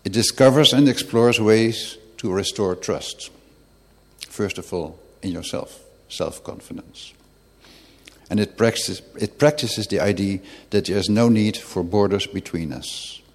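A man hosting, presenting clips and interviewing guests in a measured way with pauses. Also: on the low side, big-room sound. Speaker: host.

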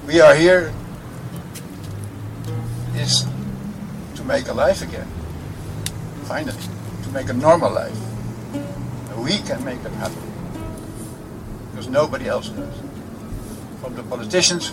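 A man's voice: mid-range voice, unhurried, long pauses, music in the background, poor audio. A man speaking in a video clip with emphatic, stressed phrases.

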